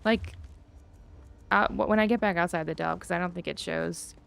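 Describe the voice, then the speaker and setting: Low-pitched, creaky-voiced woman streaming a game. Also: steady tone, normal speed, a bit bright. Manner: rambling, thinking aloud, self-deprecating. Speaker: live streamer, gamer. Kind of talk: casual live game stream commentary, chatting with viewers.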